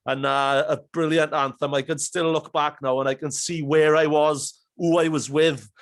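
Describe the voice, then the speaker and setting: A man's voice: a little high, flat delivery, normal speed. A man hosting a podcast interview, chatting eagerly and rambling through memories. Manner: enthusiastic, rambling with fillers. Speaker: podcast host. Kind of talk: casual interview chat.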